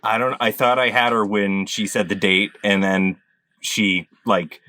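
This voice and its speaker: A man's voice: lowish voice, fairly even intonation, unhurried, bright, forceful tone, no room sound. A man chatting casually as a game panelist. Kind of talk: casual chat.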